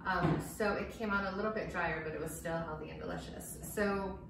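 A woman's voice: on the low side, a little fast, gravelly. Chatty woman cooking in an echoey kitchen. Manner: relaxed, chatty, explains while cooking. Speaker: cooking demonstrator. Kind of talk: casual cooking demonstration. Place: home kitchen, indoors. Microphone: far camera mic. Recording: echoey kitchen, distant mic, noisy.

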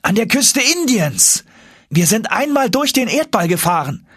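A man voicing an eager, impressionable young nephew on an adventure in an audiobook, excitable in manner. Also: high voice, unhurried, husky, no room sound, studio quality, pitched above the performer's own voice, heavily acted. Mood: excited. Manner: excitable, exclaiming. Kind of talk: audiobook character acting.

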